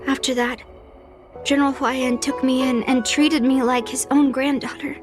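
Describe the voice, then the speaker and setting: A woman voicing a stubborn, resolute young swordswoman in a video game, speaking in an earnest, deliberate way. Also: high-pitched, flat delivery, music in the background, natural voice. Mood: grave, resolute. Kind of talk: dramatic video game voice acting.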